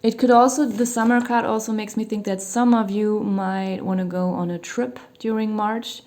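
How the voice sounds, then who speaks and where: A woman doing a tarot reading who is losing her voice a little and sounds slightly hoarse. She talks in a loose, meandering way with lots of "you know" and small hedges. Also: medium pitch, fairly even intonation, moderate pace, a little mellow. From Germany. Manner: meandering, hedging, many "you know" fillers. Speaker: tarot reader. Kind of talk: casual tarot reading monologue. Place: home near a hospital, sirens outside.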